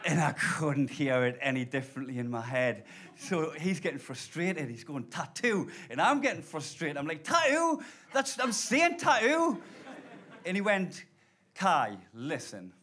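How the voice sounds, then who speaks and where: A man in his thirties from Blyth with a Geordie accent, doing an animated, sweary stand-up set live on stage. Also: fairly high pitch, measured pace. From Blyth, northeast England. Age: 30s. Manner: animated, swearing, laughing storyteller. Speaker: stand-up comedian. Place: live comedy club. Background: audience laughter.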